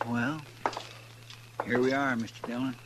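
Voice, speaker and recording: A man's voice: medium pitch, level tone, unhurried, natural voice. A man playing a loyal, anxious Old West townsman in a radio western, talking in a folksy, deferential way. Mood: subdued, reassuring. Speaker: radio drama actor. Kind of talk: 1950s radio western acting. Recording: old radio recording with faint noise, hum.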